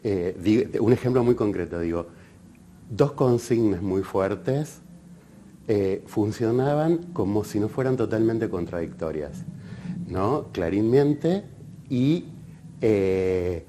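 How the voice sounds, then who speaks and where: An Argentine man with a deep, dark voice talks through an analysis off the cuff. He is reflective and halting, often restarting to find the right word. A faint layer of noise sits behind him. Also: expressive. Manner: halting, reflective, searching for words and repeating phrases. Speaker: academic researcher in communication. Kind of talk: extemporaneous analytical interview talk.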